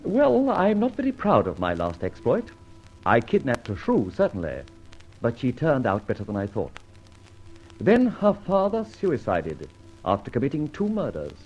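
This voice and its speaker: An actor playing an urbane, whimsical gentleman adventurer in old-time radio drama, with a theatrical, wry, mock-formal way of talking. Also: medium pitch, wildly swooping pitch, moderate pace, husky, soft background music. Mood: wry narrating. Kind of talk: old-time radio drama acting and first-person narration. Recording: dull vintage radio recording.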